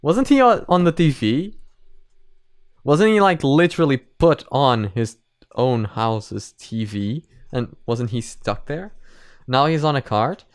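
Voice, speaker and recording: Clear-voiced man giving casual commentary. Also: mid-range voice, animated, takes it slowly. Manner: casual swearing, emphatic explaining. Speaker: reaction video host and commentator. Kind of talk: casual reaction commentary and analysis.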